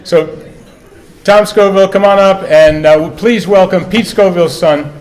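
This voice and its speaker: A man's voice: high-pitched, a little slow. A man makes an informal introduction from the stage as an event host. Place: live event before an audience. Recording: live event with audience noise.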